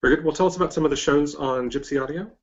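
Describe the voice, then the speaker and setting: A man hosting a casual interview, speaking in a hesitant, halting way and often repeating himself. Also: a little high, highs cut off. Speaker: podcast interviewer, host.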